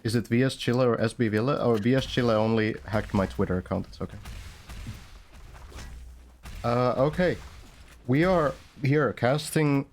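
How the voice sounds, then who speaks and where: A man's voice: mid-range voice, moderate pace, pauses often, a little hiss or hum. A man from Finland, casting an online game match in a loose, rambling way, full of fillers and restarts. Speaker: esports caster. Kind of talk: casual game commentary.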